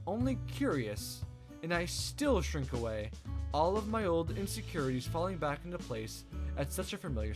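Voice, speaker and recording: A man reading a visual novel aloud as a let's play host, with expressive storytelling narration. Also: fairly high pitch.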